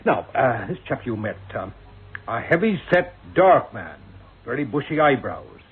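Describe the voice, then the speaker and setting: Lively, officious police sergeant. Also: male voice, medium pitch, slightly rough, narrow, tinny audio, full character voice. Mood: probing. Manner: officious, courteous, deliberate questioning. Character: methodical, probing male police sergeant. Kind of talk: radio drama acting. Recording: thin, band-limited old radio recording.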